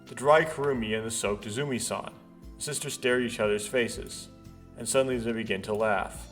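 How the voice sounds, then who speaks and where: A man doing a casual let's play, haltingly thinking aloud and reading visual novel text out. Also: mid-range voice, fairly bright tone. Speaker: let's player. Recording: background music behind voice. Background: game music.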